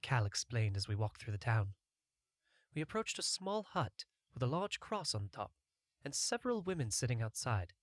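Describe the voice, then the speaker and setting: A man's voice: medium pitch, very animated, moderate pace, no echo, clean studio sound. A man reading a first-person fantasy adventure story as a scripted audiobook narration.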